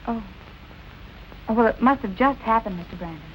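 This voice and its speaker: An actress playing a plucky young photographic model who politely digs for gossip in 1940s film noir style. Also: female voice, a little high, a little fast, slight background noise, lightly acted. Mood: polite, evasive. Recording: dull old film soundtrack, background music.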